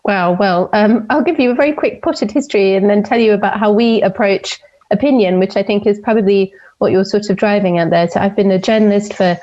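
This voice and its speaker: A woman's voice: mid-range voice, dark tone. Thoughtful woman from Portugal, muffled call. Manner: measured, thoughtful, with frequent ums. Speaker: opinion columnist, interview guest. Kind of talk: interview over a call.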